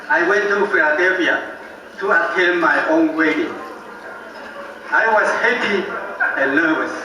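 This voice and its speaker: An older man with a heavy Taiwanese accent, a native Taiwanese speaker, gives a slow, halting father-of-the-bride wedding toast full of self-deprecating humor. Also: high voice. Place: large banquet hall. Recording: echoey banquet hall from far off with faint background noise.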